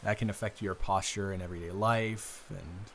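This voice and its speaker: A man playing an awkward, earnest young man in an improvised intimate roleplay, hesitant, rambling and quick to apologize. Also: sits low, fairly even intonation, a bit bright, slight background noise. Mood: nervous, helpful. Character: awkward, earnest, smitten young man, best friend's brother.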